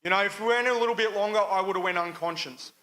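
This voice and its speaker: A man with an Australian accent talks a live audience through a sports demonstration on a microphone. His voice is high for a man and bright, with some rasp to it. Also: fast. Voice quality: raspy. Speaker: jiu-jitsu demonstrator. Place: live function room at a club.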